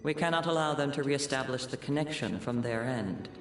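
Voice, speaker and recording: A man playing a cold, haughty overseer in a video game cutscene, speaking in a measured, formal way. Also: mid-range voice, animated, fairly bright tone, soft background music, lightly acted. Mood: cold disdain. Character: cold, haughty male overseer of a utopian civilisation. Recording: echoey, roomy sound.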